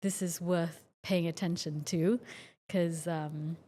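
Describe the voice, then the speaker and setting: A woman in her forties from Singapore, a doctor speaking as a podcast guest in a relaxed, chatty way with many fillers. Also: on the low side, slow. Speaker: guest, functional medicine doctor. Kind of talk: casual interview talk.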